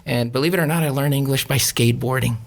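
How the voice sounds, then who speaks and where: A middle-aged man, speaking in a middle-pitched, everyday voice. He talks plainly and earnestly as a podcast guest, taking his time as he tells his story. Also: quick pace, creaky. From Mexicali, Mexico and Imperial County. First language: Spanish. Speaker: podcast guest, nurse practitioner. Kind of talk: casual interview talk.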